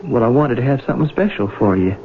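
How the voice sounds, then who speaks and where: A man's voice: mid-range voice, sing-song, fast talker, slight background noise, close to an everyday voice. An actor playing a shy, earnest young country man, hesitant and folksy, in a 1970s radio drama. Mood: shy, sweet. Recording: tinny 1970s radio broadcast, faint music.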